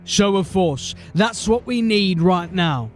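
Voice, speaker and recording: A man playing a stern, hard-bitten, commanding police sergeant in a dark fantasy audio drama. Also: sits high, animated, slow, faint music behind. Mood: resolute. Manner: curt, commanding.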